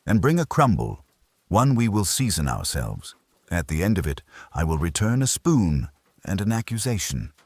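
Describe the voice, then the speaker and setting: A man with a husky voice voicing an imperious, pedantic detective in an audiobook, formal and pompous. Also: low voice, very animated, normal speed, no echo, pitched above the performer's own voice, exaggerated voice. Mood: commanding. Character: imperious, pedantic male detective, sparing and dry.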